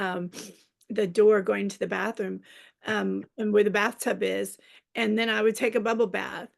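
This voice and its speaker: A middle-aged woman guest in a casual interview, an animated storyteller who laughs a lot, with a slightly raspy voice. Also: medium pitch. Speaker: guest, children's author.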